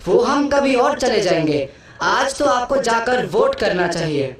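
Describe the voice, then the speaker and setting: A woman's voice: a little high, big pitch swings, a little slow. A woman playing an earnest schoolchild in a puppet show, speaking in a declamatory way. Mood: earnest, pleading. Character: earnest schoolchild persuading elders. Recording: very echoey hall, distant mic.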